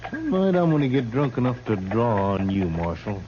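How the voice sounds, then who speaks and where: An actor playing a belligerent, whining western town drunk, swaggering and grumbling in 1950s radio style. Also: male voice, medium pitch, very animated, normal speed, dark tone, close to an everyday voice. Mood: threatening. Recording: muffled old radio recording, noisy.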